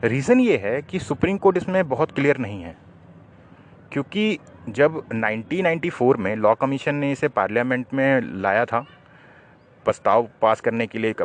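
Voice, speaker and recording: A man explains legal matters to viewers in a voice of medium pitch. His delivery is didactic and instructional. He sets out questions and then answers them himself.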